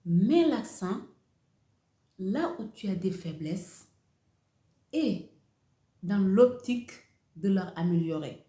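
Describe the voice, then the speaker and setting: Slow-speaking woman, echoey room. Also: medium pitch, animated, warm tone. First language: Wolof. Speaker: host of a motivational channel. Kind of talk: motivational talk to camera.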